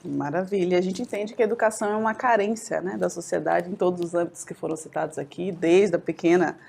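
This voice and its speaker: A woman's voice: on the low side, steady tone, a little mellow. A woman with a Brazilian Portuguese accent moderating a panel, affirming guests and handing them the floor. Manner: brisk, affirming, gravelly. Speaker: podcast host and moderator.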